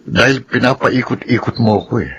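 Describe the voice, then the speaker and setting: A man's voice: mid-range voice, fairly quick, a bit bright, husky, low-quality recording. A man acting in a Filipino radio drama as a restless, uneasy husband, with a Filipino accent. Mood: wary, blunt.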